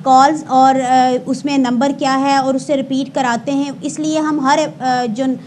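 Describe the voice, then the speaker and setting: A woman with a Pakistani accent and a very high voice speaks as an official spokesperson. She explains things formally, pausing and correcting herself at times. There is faint noise behind her. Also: flat delivery, darkish tone. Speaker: emergency service spokesperson, interview guest. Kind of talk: explanatory interview answers with statistics, Urdu mixed with English.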